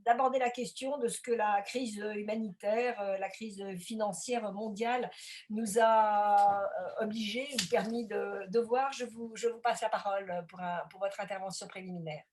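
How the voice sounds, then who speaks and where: A woman moderating an online debate, introducing a speaker in a halting way with many fillers and repeated words. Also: mid-range voice, steady tone, darkish tone, slight background noise. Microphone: video call.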